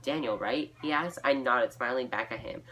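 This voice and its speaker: A young woman with a very deep, bright voice reads a story aloud in an informal way. She is giggly and self-mocking, slipping out of the reading into casual asides. There is faint noise behind her. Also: moderate pace. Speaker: vlogger reading own fan fiction aloud.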